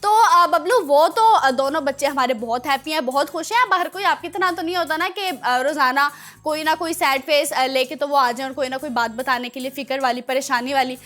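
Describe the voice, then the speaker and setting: Lady presenting a kids show, really bright high voice, animated n teasing, bit of music behind. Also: brisk. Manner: animated, playfully scolding, talks to children. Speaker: children's show host. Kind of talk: chatty children's TV presenting.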